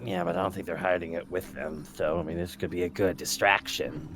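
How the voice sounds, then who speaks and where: A man's voice: sits low, steady tone, a little fast, fairly bright tone, a little hiss or hum. A man playing a tabletop game, chatting casually with a sardonic, flippant manner.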